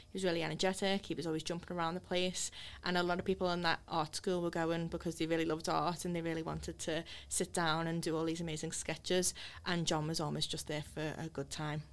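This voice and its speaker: A woman co-hosting a podcast, explaining casually with fillers and asking the guest friendly questions. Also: lowish voice. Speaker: podcast co-host. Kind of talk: podcast chat and interview. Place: small office studio.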